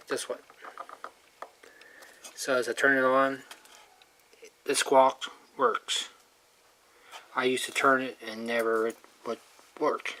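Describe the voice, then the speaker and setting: A person talking casually and informally while showing hands-on work, rambling and trailing off mid-thought, with a medium-pitched voice. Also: bright, forceful tone. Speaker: hobbyist showing a radio repair. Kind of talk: casual hands-on video narration. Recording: clearly noisy amateur handheld recording.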